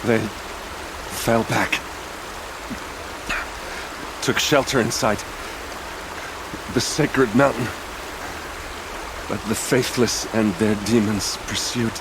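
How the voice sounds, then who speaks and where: An actor playing a broken, dying tribesman in a video game, solemn, weak and halting, with rain noise. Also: on the low side, steady tone. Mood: weary, fading. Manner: halting, laboured. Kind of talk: dramatic video game acting. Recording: loud rain noise over voice.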